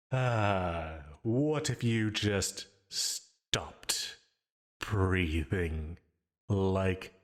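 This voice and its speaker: A man's voice: deep voice, full character voice. A man voicing a possessive, gleefully menacing sleep paralysis demon in an ASMR audio roleplay, taunting the listener. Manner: slow, drawn-out taunting with dark chuckles. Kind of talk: ASMR yandere roleplay, dramatic monologue.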